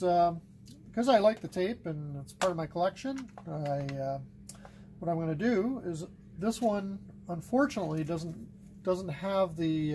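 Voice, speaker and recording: A middle-aged man giving a casual, unscripted workbench tutorial, thinking aloud haltingly as he works with his hands. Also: a little high, darkish tone, a little hiss or hum. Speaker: hobbyist demonstrating cassette repair. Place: indoors at a wooden workbench.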